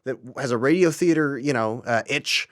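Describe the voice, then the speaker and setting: A man's voice: mid-range voice, normal speed, fairly bright tone, no echo, studio quality. A man talking casually and at length as a guest in a group podcast interview. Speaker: podcast guest, audio drama creator.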